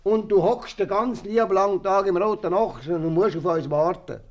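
Boastful tavern regular, slightly high voice, jovial, teasing, folksy Swiss accent, 1950s radio comedy. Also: male voice, brisk, a little mellow, dull audio.

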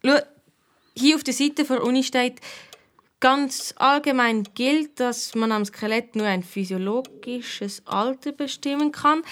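Young Swiss girl sleuth, high voice, dry close sound, eager, blunt, colloquial, children's radio drama. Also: fairly bright tone, no echo. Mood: curious. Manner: colloquial, youthful storytelling. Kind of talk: children's detective radio play.

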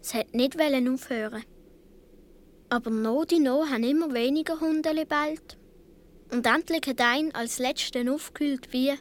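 Woman doing a little swiss boy, high voice, earnest kid storytelling for a radio drama, swiss german accent. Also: normal speed, close to an everyday voice. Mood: earnest, tense. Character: seven or eight year old Swiss boy recalling his adventures. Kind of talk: radio play narration.

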